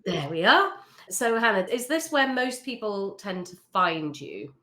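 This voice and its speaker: A woman hosting an interview, giving lively, chatty feedback on branding with frequent laughs. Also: medium pitch, measured pace. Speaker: host.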